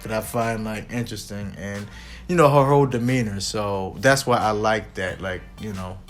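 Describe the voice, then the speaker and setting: Low-voiced rambling man. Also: moderate pace, soft background music. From United States. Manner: rambling, frequent "you know" fillers. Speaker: co-host. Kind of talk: casual chat.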